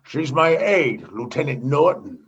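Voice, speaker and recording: A man's voice: medium pitch, very animated, a little slow, creaky, breathy edge, heavily acted. A man acting a skeptical, demanding commodore in a comedy sci-fi radio play, with pointed, condescending questioning, on dull muffled audio. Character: skeptical, demanding commodore inspecting a space station.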